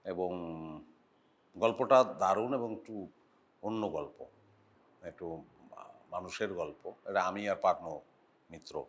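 A middle-aged man, an actor guesting on a talk show, talking in a reflective, halting way, often pausing on "ah" and letting his phrases trail off softly. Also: sits low. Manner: reflective, halting with frequent "ah" pauses. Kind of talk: casual interview chat.

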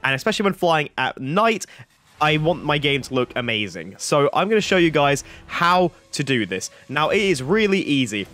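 A man with a croaky voice giving a chatty tutorial voice-over, full of fillers like "like" and "uh". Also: on the high side, fairly bright tone. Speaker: flight sim YouTuber.

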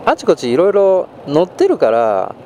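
Loud road and engine noise surrounds a man with a fairly high, very lively voice. He rambles on casually through a helmet intercom as he rides. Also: moderate pace. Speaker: motovlogger. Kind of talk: casual chat over helmet intercom while riding. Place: outdoors on a motorbike on a night expressway. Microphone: helmet intercom headset.

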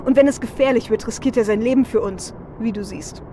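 Cyberpunk audio drama: cocky female technomancer, loyal and cutting, highish voice, played by a woman. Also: brisk, mellow, lightly acted. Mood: defiant. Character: cocky, sharp-tongued female technomancer hacker, loyal to her team. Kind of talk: fan audio drama acting. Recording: faint vehicle and street noise.

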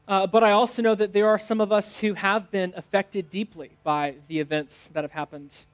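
A man's voice: high-pitched, fairly even intonation, moderate pace, clear, resonant tone. A man in his thirties with an American accent, a pastor giving a sermon in short phrases. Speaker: teaching pastor. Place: church stage, indoors.

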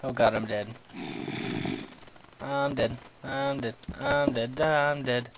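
A man's voice: mid-range voice, steady tone, slow. Goofy self-mocking young gamer man. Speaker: gamer playing commentary. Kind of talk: let's play commentary. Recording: noisy home recording.

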